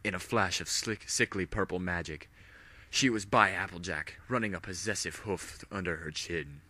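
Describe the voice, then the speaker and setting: A man's voice: low voice, normal speed, bright, forceful tone, no room sound. A man narrating a dramatic spoof audiobook in a theatrical, hammy storytelling manner.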